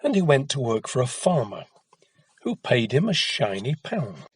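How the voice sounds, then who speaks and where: A man narrating a children's folktale as an expressive storyteller. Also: mid-range voice, moderate pace, slightly rough.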